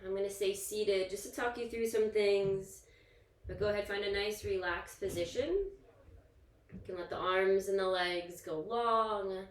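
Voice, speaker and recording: A woman yoga instructor leading a guided relaxation in a soothing, gentle, informal manner, leaving pauses between instructions. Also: mid-range voice. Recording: echoey, roomy sound.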